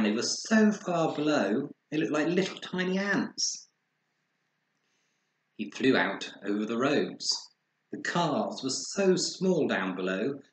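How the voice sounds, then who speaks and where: A man narrating a children's story with expressive, dramatized delivery, recorded in an echoey room. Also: mid-range voice. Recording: echoey room with faint noise.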